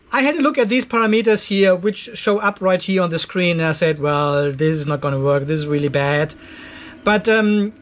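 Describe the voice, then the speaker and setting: A man in his fifties giving a casual, conversational explainer, going step by step and openly critical. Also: high-pitched. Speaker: host of an off-grid solar channel. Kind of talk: casual explainer vlog to camera. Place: cluttered garage workshop.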